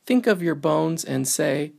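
A man reads a children's picture book aloud in a very creaky, gravelly voice. His pitch swings in a lively way as he moves through the simple lines, and he takes his time over each phrase. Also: unhurried.

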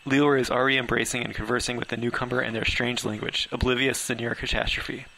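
A man's voice: mid-range voice, level tone, fast, vocal fry, sharp tone, rough recording. A man reading his own story aloud as amateur first-person narration, occasionally stumbling over words.

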